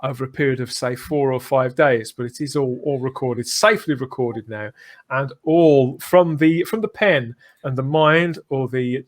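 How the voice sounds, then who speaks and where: A man's voice: mid-range voice, moderate pace. Man chatting as podcast host. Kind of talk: casual fan podcast discussion.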